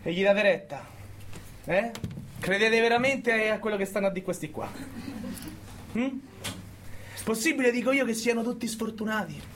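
An actor playing a cynical, resentful prison guard with a Roman accent, goading the listener colloquially in a stage monologue. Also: male voice, sits high, moderate pace, long pauses, a bit bright, a little hiss or hum. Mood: sneering disbelief. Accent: central Italian, Roman. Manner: colloquial, sneering, goading with repeated tag questions. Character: cynical, resentful, underpaid male prison guard, scornful of inmates. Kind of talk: theatrical monologue addressed to an audience.

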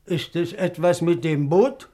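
An actor in a 1950s German radio drama playing an agitated, grieving war veteran with a gravelly, husky voice and a halting, repetitive delivery. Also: male voice, fairly high pitch, warm tone, no echo, studio quality. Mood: alarmed, distraught. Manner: halting, repeating himself, swinging from muttering to outbursts.

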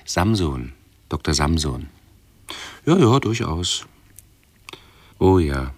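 An actor playing a fussy hypochondriac man in a radio play, who talks haltingly and pedantically, correcting himself. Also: extremely low pitch, sing-song, a little mellow, slightly rough, no echo, full character voice. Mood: evasive, reassuring.

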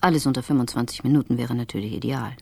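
An actress playing a self-absorbed, cosmopolitan traveller in a radio drama, matter-of-fact and commanding. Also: female voice, rumbling low, fast talker, gravelly, a little mellow, slightly rough, dry recording, heavily acted. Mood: matter-of-fact, preoccupied.

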